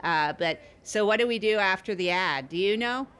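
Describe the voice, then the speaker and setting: A woman's voice: mid-range voice, normal speed, a bit bright, faint music behind, lightly acted. A woman playing a blunt, fussy, folksy guest host in improvised comedy podcast banter, often repeating herself. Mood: puzzled. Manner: blunt, chatty, repeats phrases.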